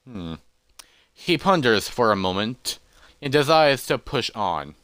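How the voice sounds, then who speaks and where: A man narrating a fantasy adventure script in a halting, loosely read way, with dramatic pauses. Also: mid-range voice, expressive, fairly bright tone, clean tone, no echo.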